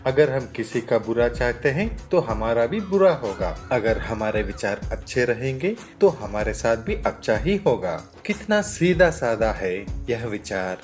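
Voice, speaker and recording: Man as wise storybook guru, benevolent, preaching, animated intonation, music behind. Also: medium pitch, measured pace, hardly any pauses, lightly acted. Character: wise, serene male travelling holy teacher. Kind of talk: cartoon moral storybook acting.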